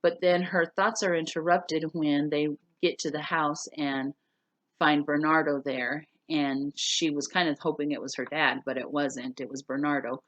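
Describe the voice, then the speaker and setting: Low voiced lady teacher doing a class read aloud, simple explaining, no room sound. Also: steady tone, unhurried, a bit bright, dry recording, lo-fi sound. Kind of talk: casual spoken recap and story read-aloud for students.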